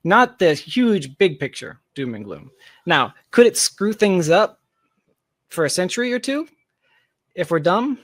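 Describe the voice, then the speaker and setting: A man's voice: high-pitched, very animated, measured pace, dry recording, clean studio sound. A man speaking as an interview guest in a casual, speculative discussion, reasoning aloud with hedges and long asides. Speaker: podcast guest, tech founder.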